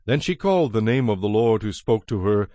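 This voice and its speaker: A man giving a solemn, measured scripted narration of scripture in a dramatized style. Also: lowish voice, lively intonation, mellow, no room sound. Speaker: Bible narrator. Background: music.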